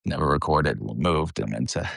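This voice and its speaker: Low-pitched American man, blunt and informal. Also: normal speed, gravelly, a bit bright, no room sound, clean studio sound. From eastern Pennsylvania, United States. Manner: blunt, profane, informal, slangy. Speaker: metal band vocalist, interview guest. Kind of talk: casual chat, anecdotal storytelling.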